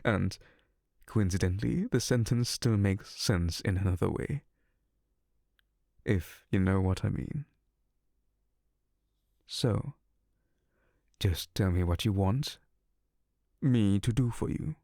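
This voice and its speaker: Slow, low, gravelly male incubus, played by a man, teasing and predatory, dry close ASMR sound. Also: warm tone, dry recording. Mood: playful. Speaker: ASMR roleplay voice actor. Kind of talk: ASMR roleplay.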